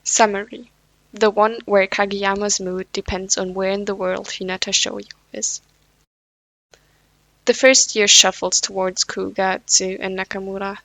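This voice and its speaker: A woman's voice: mid-range voice, level tone, unhurried, fairly bright tone, dry recording. A woman reading fanfiction aloud at home, with casual, self-deprecating asides; English is not her first language. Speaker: podfic reader.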